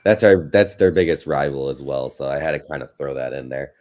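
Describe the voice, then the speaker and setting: A man's voice: a bass voice, brisk, darkish tone. A man with an American accent co-hosting a sports show, chatting casually and conversationally about college football in a rambling way.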